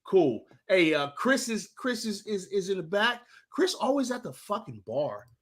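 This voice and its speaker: A man hosting a casual sports talk stream, giving shout-outs in a loose, profane, rambling way full of restarts. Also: high-pitched, big pitch swings, measured pace.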